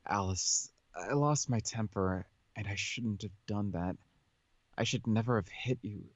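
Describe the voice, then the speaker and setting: A man's voice: lowish voice, a little slow, dry recording, lo-fi sound. Man playing earnest, frustrated teenage boy. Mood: apologetic. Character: remorseful, frustrated teenage high school boy.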